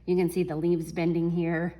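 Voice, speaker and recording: Brief: lower-pitched woman teacher, animated storytime reader, addresses kids. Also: moderate pace. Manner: animated, chuckles while reading, talks to children. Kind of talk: children's read-aloud storytime.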